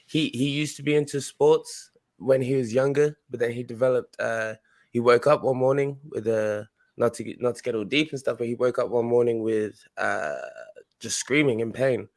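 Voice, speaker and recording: A man's voice: mid-range voice, steady tone, normal speed. A young man from Australia, a guest in a casual interview, talking in a laid-back, rambling way with many restarts.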